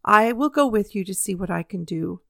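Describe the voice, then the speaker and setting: Woman doing a kindly calm doctor, gentle, dry close sound. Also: mid-range voice, moderate pace, creaky, no room sound. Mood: earnest. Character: kindly English doctor, grown man.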